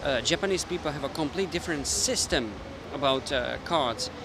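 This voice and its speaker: A man's voice: on the high side, animated, normal speed. A man with a Hungarian accent, a mentalist explaining his card tricks casually to the camera while thinking aloud. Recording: street noise with passing traffic.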